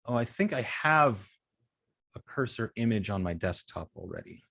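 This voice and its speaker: A man teaching a class informally, speaking in a halting way and often repeating words as he thinks. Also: fairly low pitch, animated, gravelly, pushed and bright, no echo. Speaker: web design class instructor. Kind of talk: informal online teaching and student check-ins.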